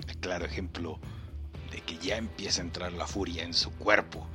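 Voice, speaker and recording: Podcast host guy, deep but bright forceful voice, mock-serious and playful, chatting right at the listener. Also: fairly even intonation, normal speed, soft background music. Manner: mock-solemn, playful, addresses listener directly. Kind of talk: podcast commentary on clips.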